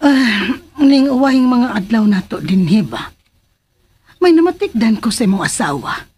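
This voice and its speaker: A woman playing a concerned, kindly older aunt in a radio drama, with a husky voice and a Cebuano Filipino accent. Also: fairly high pitch, big pitch swings, moderate pace, darkish tone. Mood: concerned, confiding.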